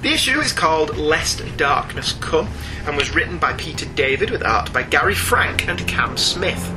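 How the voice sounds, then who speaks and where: A man's voice: a little high, very animated, moderate pace, loud music behind the voice, low-quality recording. A man hosting a podcast, reading out a scripted review in a narrator's style. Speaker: podcast host.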